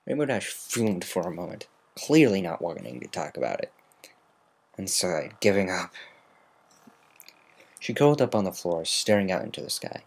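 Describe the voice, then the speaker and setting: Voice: sits low, very animated, moderate pace, pauses often, low-quality recording. A narrator giving a semi-dramatic reading of a fan fiction story.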